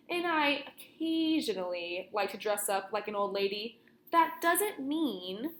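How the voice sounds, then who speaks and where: Woman playing indignant male wolf, storybook, cocky, chummy, theatrical, slightly high pitch, echoing room. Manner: chummy, pushy, theatrical, addresses listener directly. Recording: roomy, echoing room sound.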